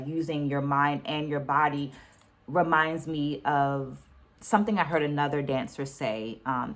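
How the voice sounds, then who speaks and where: A middle-aged woman talking to viewers in a reflective book vlog, speaking articulately and deliberately, repeating phrases for emphasis. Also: sits low. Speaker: book reading vlogger, dancer and educator. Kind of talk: reflective book-takeaway vlog, semi-scripted talk to viewers.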